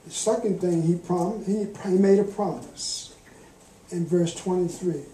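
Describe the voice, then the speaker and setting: An older man preaching a sermon from a church pulpit with a deliberate, emphatic cadence. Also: high-pitched, mellow. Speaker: guest preacher, minister. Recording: echoey church room.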